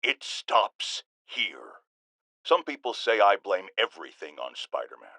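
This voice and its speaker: A man's voice: on the low side, very animated, unhurried, creaky, pushed and bright, no room sound, clean studio sound, exaggerated voice. An American man playing a pompous, self-righteous older talk radio host in a video game, indignant and emphatic. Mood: somber, firm. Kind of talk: theatrical radio talk show rant.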